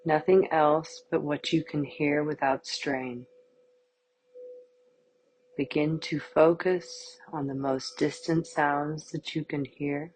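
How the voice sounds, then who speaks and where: A woman guiding a yoga nidra meditation with calm, soothing, measured scripted instructions. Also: low voice, low-quality recording.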